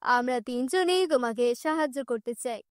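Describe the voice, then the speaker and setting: A woman's voice: high voice, normal speed, no echo, studio quality, voice pushed down, lightly acted. A woman voicing a helpful, reassuring magical talking object in a children's cartoon story. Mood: calm, reassuring.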